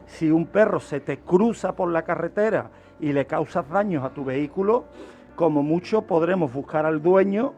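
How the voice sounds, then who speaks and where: A man's voice: a little high, moderate pace, a little mellow, soft background music. A man giving an explanatory radio talk as a criminologist, teaching by asking questions and answering them himself. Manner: didactic, asks and answers own questions. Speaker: criminologist presenting a radio segment.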